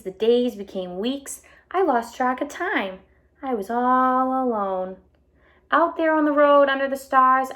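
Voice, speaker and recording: A woman voicing an earnest, eager, slightly anxious egg in a children's storybook, with expressive, emphatic delivery and drawn-out key words. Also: fairly high pitch. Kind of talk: children's storybook read-aloud.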